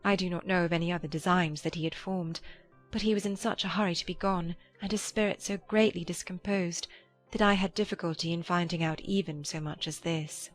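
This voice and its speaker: A woman reading in a measured, formal way for a dramatized audiobook of a period novel. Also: lowish voice, steady tone, soft background music, lightly acted.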